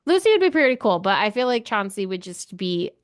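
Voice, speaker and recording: A woman's voice: medium pitch, very animated, fairly quick, clean tone, no echo, studio quality. A woman talking casually as co-host of a book club podcast.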